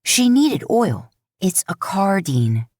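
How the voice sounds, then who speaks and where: A woman's voice: mid-range voice, very animated, slow pace, a little mellow, no room sound, studio quality. A woman voicing an earnest, bookish young man who argues insistently. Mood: exasperated.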